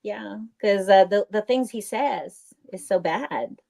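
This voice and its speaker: A woman's voice: medium pitch, animated, slow, gravelly, warm tone. A woman chatting casually on a live stream as a co-host, with an informal, outspoken and indignant way of talking.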